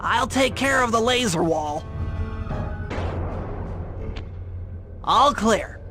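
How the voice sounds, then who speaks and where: Very high-pitched brainy sidekick. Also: male voice, expressive, measured pace. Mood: focused. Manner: precise, earnest, explanatory. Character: brainy, cautious male animal sidekick, planner and hacker. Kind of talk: cartoon video game voice acting. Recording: music behind the voice.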